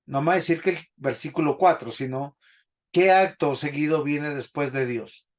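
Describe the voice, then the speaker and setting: A man's voice: a little high, normal speed. A man teaching a Bible class in a church, preaching and putting questions to the congregation. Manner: didactic, asks the congregation questions.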